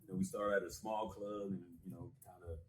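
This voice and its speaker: A relaxed, deep-voiced man talks like a stand-up comic on a casual interview. He keeps it loose and playful, and a faint hum runs under him from a moving car. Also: brisk. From Las Vegas, USA. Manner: laid-back, chuckling, loose and playful. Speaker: stand-up comedian guest. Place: in a moving vehicle.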